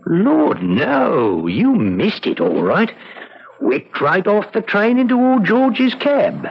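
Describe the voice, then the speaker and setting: High, creaky, folksy tavern gossip. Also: male voice, slow, exaggerated voice. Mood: chatty, impressed. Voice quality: creaky, husky. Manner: rustic, colloquial, excitable. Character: simple, chatty, folksy village tavern companion. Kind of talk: old-fashioned radio drama character acting. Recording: dull lo-fi radio drama recording.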